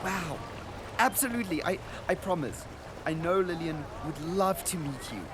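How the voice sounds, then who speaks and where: Animated, high-voiced earnest boy traveler played by a man. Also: moderate pace, background noise. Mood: amazed, excited. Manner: earnest, descriptive, full of wonder. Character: earnest, wonder-struck boy traveler recording for his sister. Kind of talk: radio drama acting with narrated audio diary.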